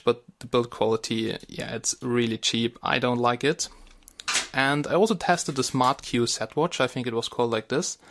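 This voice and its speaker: A man's voice: mid-range voice, fairly bright tone. Man with a German accent reviewing gadgets. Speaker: tech product reviewer and video host. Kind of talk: casual hands-on gadget review.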